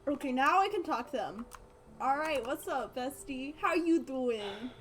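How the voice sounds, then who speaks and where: Girl streamer chatting casually, high lively voice, slangy and playful. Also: unhurried, slight background noise. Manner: playful, slangy, reading game text aloud. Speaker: game streamer. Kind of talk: casual live stream commentary.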